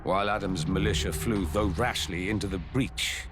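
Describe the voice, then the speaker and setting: Man playing a very deep, stately king. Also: noisy background, lightly acted. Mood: grave, reproving. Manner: stately, deliberate, archaic poetic phrasing. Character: grave, dignified, measured fantasy king. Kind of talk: video game cutscene acting.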